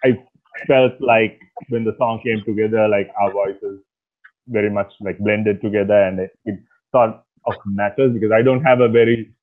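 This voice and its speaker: A man in his thirties from Sri Lanka, a singer talking casually in an interview over a video call, with a rough voice and a relaxed, rambling way of speaking full of fillers. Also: on the low side, moderate pace. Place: small indoor room at night. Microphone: earphones on a video call. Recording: tinny phone-like call audio.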